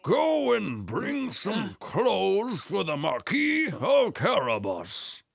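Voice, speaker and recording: A man playing an old, commanding king in a cartoon storybook, slow and regal. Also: sits high, huge pitch swings, creaky, no echo, heavily acted.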